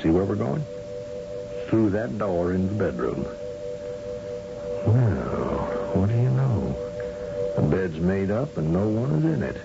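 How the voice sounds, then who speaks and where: An actor playing a shrewd, folksy, commanding space colony governor in an old-time radio drama, with hesitant asides. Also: male voice, low-pitched, big pitch swings, takes it slowly, gravelly, long pauses, exaggerated voice. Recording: muffled radio drama recording, music, noise.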